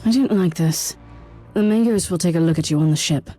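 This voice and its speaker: An actress playing a stern, wary but loyal woman Inquisitor, speaking in a measured, formal way in a game cutscene. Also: fairly low pitch, warm tone, soft background music, close to an everyday voice. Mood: concerned.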